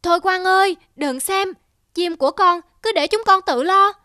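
A woman's voice: very high-pitched, normal speed. A woman voicing a cheeky, stubborn young village boy in a cartoon, whining and pleading. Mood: wheedling. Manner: whiny, pleading.

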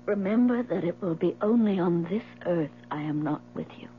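An actress playing a devoted dying wife, solemn and theatrical, with halting pauses, in a 1970s radio drama. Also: female voice, lowish voice, expressive, natural voice. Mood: tender, solemn. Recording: muffled old radio recording, mains hum.

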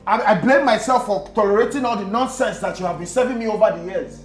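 A man's voice: sits high, fast talker, natural voice. A fussy, grumbling husband played by a man, who stammers and repeats himself when riled. The room sounds echoey, with faint music underneath. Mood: bitter. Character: grumbling husband demanding his food covered. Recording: roomy echo, faint music.

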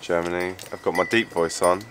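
A young man in a band giving relaxed, wry tour updates to a handheld camera. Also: sits low, a little fast, noisy background. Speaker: band member. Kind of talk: casual video diary. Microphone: wide-angle handheld camera. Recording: music playing over the voice.

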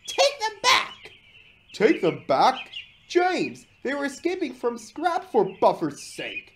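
A woman's voice: sits high, huge pitch swings, a little slow, a little hiss or hum, pitched above the performer's own voice, full character voice. A woman voicing a furious, snobbish, indignant male steam engine in a children's story. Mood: shrieking outrage. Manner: indignant, scolding.

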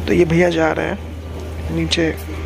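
A man's voice: on the high side, a little slow, vocal fry, noisy background. Chatty young man vlogging outdoors. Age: young adult. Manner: chatty, excited tour-guide narration. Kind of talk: casual travel vlog commentary. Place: outdoors at a hill stadium. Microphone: handheld phone selfie camera.

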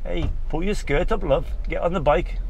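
An English man with a slightly croaky voice, giving a chatty, rambling, casual commentary as a vlogger while driving. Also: fairly high pitch, moderate pace. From England. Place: outdoors on a moving vehicle. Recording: clear vehicle and road noise.

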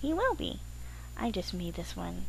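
A woman voicing a gushing, gleefully excited young female pony in an amateur story reading. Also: fairly low pitch, measured pace, vocal fry, a little hiss or hum, exaggerated voice, poor audio. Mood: chirpy. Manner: gushing, sing-song, exclaiming. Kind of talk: amateur dramatic reading of fan fiction.

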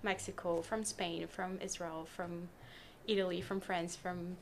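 A woman's voice: fairly low pitch, little pitch movement, unhurried, a little hiss or hum. A woman chatting casually as a podcast guest, with hesitant, loose phrasing. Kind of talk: casual interview chat.

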